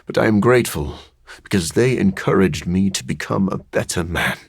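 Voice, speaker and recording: Brooding vampire scientist for a video game, deep n gravelly, dry deadpan humour, kinda formal, close dry recording. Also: male voice, moderate pace, no echo, heavily acted. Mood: earnest, measured. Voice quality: gravelly, husky. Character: brooding living vampire scientist, dour yet dryly witty. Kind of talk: video game character voice lines.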